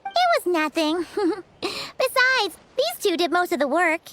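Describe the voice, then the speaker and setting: Squeaky little fairy girl. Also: very animated, takes it slowly, fairly bright tone, slight background noise, full character voice. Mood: cheerful, proud. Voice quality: squeaky, childlike. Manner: bubbly, exclamatory. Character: tiny cheeky excitable floating fairy girl companion. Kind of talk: video game voice acting. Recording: music behind the voice.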